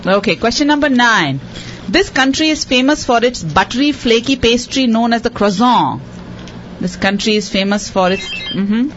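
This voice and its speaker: A woman hosting a quiz show for children, repeating each question slowly and cheering the contestants on. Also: on the high side, expressive, muffled recording, a little hiss or hum. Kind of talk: hosting a radio quiz for kids.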